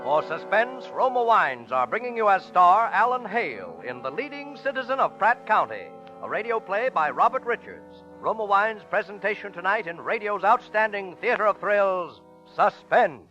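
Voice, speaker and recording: A male announcer from vintage radio, high-pitched and dramatic. His delivery swings widely over prominent music, with muffled old recording quality. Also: very animated, moderate pace, few pauses. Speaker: program announcer. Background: loud music.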